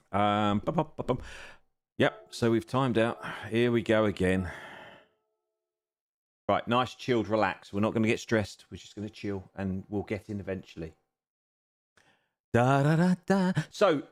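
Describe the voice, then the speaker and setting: Lively, self-deprecating man in his fifties. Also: medium pitch. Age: 50s. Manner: chatty, self-deprecating, chuckles often. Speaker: sim racing streamer. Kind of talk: casual live stream chat. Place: seated at a home racing simulator rig.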